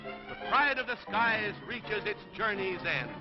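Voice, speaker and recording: A man with a high voice narrates a vintage 1930s newsreel. His scripted delivery is dramatic and grave. The soundtrack is dull and dated, with music clearly playing behind him. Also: a little slow.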